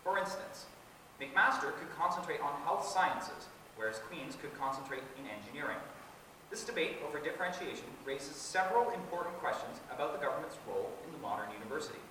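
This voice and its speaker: A man moderating a university panel discussion, introducing speakers and laying out the schedule with joking asides. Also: on the high side. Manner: lively, joking asides while reading introductions. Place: large lecture hall. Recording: echoey hall from far off, faint hiss.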